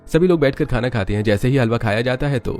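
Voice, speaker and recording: A man's voice: mid-range voice, fast talker, darkish tone, faint music behind. A man narrating a scripted family story as a third-person storyteller.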